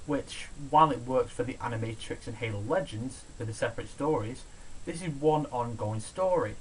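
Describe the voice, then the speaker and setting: A man's voice: medium pitch, poor audio. A man reading a scripted countdown review in a sardonic, opinionated, sweary manner. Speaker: video reviewer, host of a countdown show. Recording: steady background hiss, amateur home recording.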